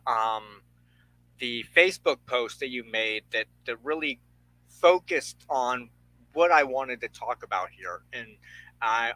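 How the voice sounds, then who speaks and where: A man hosts a podcast in a casual, conversational way. His voice is medium in pitch, with a bright, crisp edge to the tone. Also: dry recording, poor audio. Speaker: podcast host.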